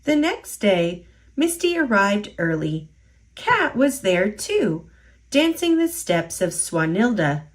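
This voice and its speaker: Slow, expressive woman reading a storybook. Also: mid-range voice. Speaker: storytime reader. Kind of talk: children's picture book read-aloud.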